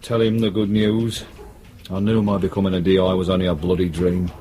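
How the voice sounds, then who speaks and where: An actor playing a dogged, weary police detective in a radio drama, speaking in a blunt, sardonic, grumbling way. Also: male voice, low voice, little pitch movement, a little fast, mellow, natural voice. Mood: resigned.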